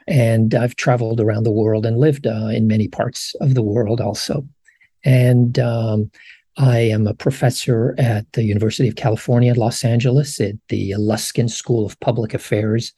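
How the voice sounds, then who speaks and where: A man's voice: mid-range voice, fairly even intonation, moderate pace, dark tone, husky, dry recording. A man in his sixties from Morocco, a professor answering interview questions thoughtfully, with frequent ums and restarts. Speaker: professor, podcast guest. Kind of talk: long-form interview answers.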